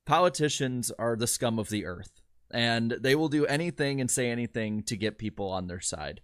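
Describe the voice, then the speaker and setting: A man of middle pitch speaks bluntly on a casual racing podcast, sprinkling his opinions with hesitant fillers. His mic sounds dry and close, with no room behind him. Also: lively intonation, normal speed, fairly bright tone, no room sound. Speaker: podcast co-host. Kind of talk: casual chat.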